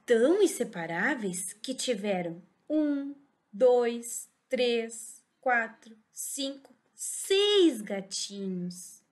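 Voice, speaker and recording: A woman's voice: on the high side, sing-song, drawn-out words. Sing-song woman reading a picture book. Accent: Brazilian Portuguese. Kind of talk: animated storybook reading for young children.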